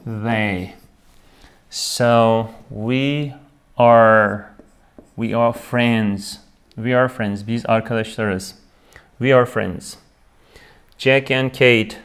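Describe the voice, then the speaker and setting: Slow, patient man teaching grammar. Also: mid-range voice, smooth. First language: Turkish. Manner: slow, repeats each word, checks with "right?"; switches between English and Turkish. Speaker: English teacher. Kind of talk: grammar lesson for beginners.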